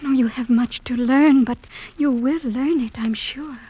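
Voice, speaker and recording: Slow highish female, shy earnest young maiden, old radio play. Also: husky, highs cut off. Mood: shy, tender. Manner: soft, earnest, hesitant. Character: gentle, shy young blind village maiden. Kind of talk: 1940s-50s radio drama acting.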